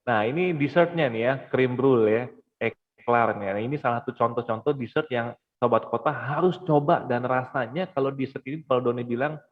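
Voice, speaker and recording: A man's voice: medium pitch, a little fast. A man, hosting a casual talk show over a video call, upbeat and prompting his guests. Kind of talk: casual chat. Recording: thin phone-like online call with long reverb.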